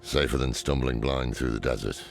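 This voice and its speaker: An actor playing a weary, grim old demon-hunting scholar in a video game, gravelly, with terse, grave delivery. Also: male voice, rumbling low, fairly even intonation, moderate pace. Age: older. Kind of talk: dramatic video game dialogue. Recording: loud cinematic game music behind voice.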